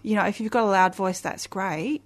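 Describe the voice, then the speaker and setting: A woman with a loud voice, a guest on a radio interview, talking eagerly with many restarts and fillers. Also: medium pitch, normal speed. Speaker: guest, community festival organizer.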